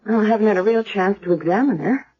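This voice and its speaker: A woman's voice: medium pitch, quick pace, slightly rough, close to an everyday voice. An actress playing a self-assured female hospital doctor with dry, pointed retorts in a 1970s radio drama. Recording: dull old radio broadcast.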